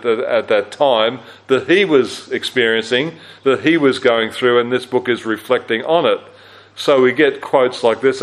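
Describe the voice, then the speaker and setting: A man with a low-register voice teaching a Bible study at a church camp, speaking conversationally with fillers and self-corrections. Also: low-quality recording.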